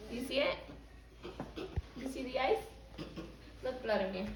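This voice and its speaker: A woman with a high, bright voice speaks slowly, as a preschool teacher does with little children. Her phrasing is simple and repetitive. She is recorded in an echoing classroom. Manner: prompting with simple repeated questions and phrases. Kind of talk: teaching young children, question-led lesson and picture book read-aloud. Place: indoor classroom. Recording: roomy, distant mic, faint background noise.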